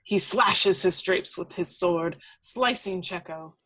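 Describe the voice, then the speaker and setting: A woman's voice: lowish voice, takes it slowly, sharp tone, husky. A woman reading scripted action descriptions as the narrator of a table read. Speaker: stage-direction reader.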